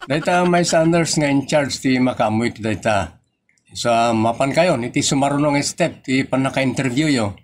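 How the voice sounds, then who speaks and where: A man's voice: mid-range voice, normal speed, slight background noise, lightly acted. A man voicing an exasperated, insistent clinic nurse with an Ilocano accent in a comedy audio drama. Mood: explaining. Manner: insistent, repeating himself. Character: exasperated male clinic nurse interviewing a rambling patient.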